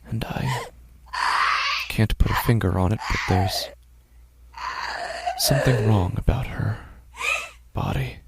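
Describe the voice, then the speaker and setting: Deep gravelly male horror narrator. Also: shouting, heavy background noise. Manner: measured, tense storytelling. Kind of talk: first-person horror narration.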